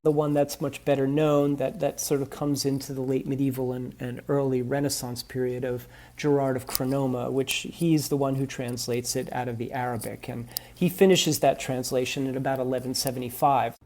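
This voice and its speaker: A man interviewed as an expert, explaining at length with many pauses and restarts. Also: medium pitch, little pitch movement, a little mellow. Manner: hesitant, many ums and restarts. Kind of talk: recorded interview.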